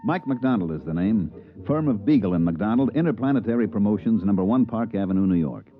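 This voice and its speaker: An actor playing a slick, scheming American promoter in a 1950s radio drama, smooth-talking and wisecracking. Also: male voice, on the low side, animated, fairly quick, over music, close to an everyday voice. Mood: breezy, boastful. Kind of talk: 1950s radio acting, narration and dialogue. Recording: muffled 1950s radio recording.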